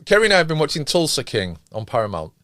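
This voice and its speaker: A man's voice: a little high, huge pitch swings, fast talker, fairly bright tone, no echo. A man nearly fifty, co-hosting a casual podcast chat in a hesitant, understated way. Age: late 40s, nearly 50. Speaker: podcast co-host. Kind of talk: casual discussion of television.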